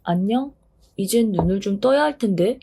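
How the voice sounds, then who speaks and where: A woman's voice: mid-range voice, animated, normal speed, warm tone, husky. A woman voicing the wind as a playful, boastful newborn figure speaking eagerly and breezily. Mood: coaxing.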